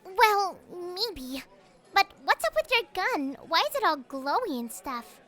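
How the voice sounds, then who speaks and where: A woman voicing a small, cute, pouty and innocent girl in a childlike, squeaky way, with crowd noise behind. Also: sing-song, slow, pushed and bright, exaggerated voice. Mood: curious.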